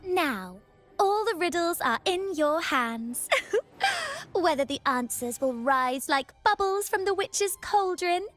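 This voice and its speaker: A vain young woman from a video game, speaking in a high, swooping voice. She is theatrical and takes her time over each word, with music playing behind her. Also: very animated, slow, a bit bright, heavily acted. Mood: teasing, mysterious. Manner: theatrical, lilting, savoring words.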